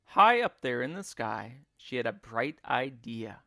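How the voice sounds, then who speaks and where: Animated, slow-paced male storybook reader. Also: mid-range voice, gravelly, dry recording, clean studio sound. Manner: deliberate, theatrical storytelling. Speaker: teacher reading a children's book aloud. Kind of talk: expressive storybook narration.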